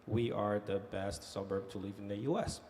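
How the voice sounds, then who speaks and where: A man's voice: fairly low pitch, moderate pace, slight background noise. A man from Haiti speaking on a conference panel as an economic development director, telling his story with many fillers. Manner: animated storytelling with frequent fillers. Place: conference hall.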